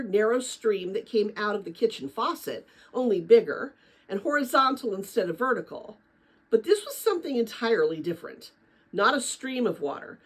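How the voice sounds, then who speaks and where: Female storybook narrator, animated and expressive, with a husky grain, reading middle-grade fiction dramatically. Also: a little high, rough recording. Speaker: read-aloud narrator.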